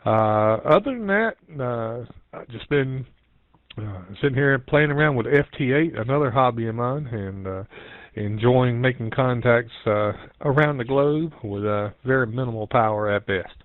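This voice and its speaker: A man giving a casual, halting, meandering update as an amateur radio operator on a net. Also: medium pitch, dry recording. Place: home office. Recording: dull narrowband internet radio link.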